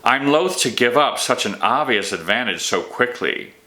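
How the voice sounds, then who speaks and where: A man's voice: mid-range voice, expressive, moderate pace, bright, forceful tone, voice pushed up, poor audio. A man voicing a king's loyal, trusting brother in a fantasy audiobook, earnest and reasoning. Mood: earnest, hopeful.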